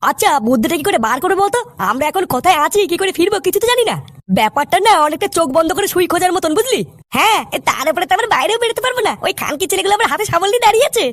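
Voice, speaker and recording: Squeaky whiny little cartoon boy, pitched way up, talks super fast, slangy scaredy-cat. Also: big pitch swings, a bit bright, no echo, full character voice. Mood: fretful. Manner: slangy, fretful, exclamatory. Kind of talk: comic cartoon dub.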